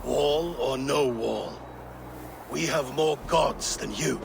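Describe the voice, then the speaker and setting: Gravelly, proud Viking warlord. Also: male voice, mid-range voice, takes it slowly, background noise. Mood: commanding. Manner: imperious, accusatory, declamatory. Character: proud, suspicious male Viking warlord, commanding and vengeful. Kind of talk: dramatic video game acting. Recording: clear outdoor ambience behind voice.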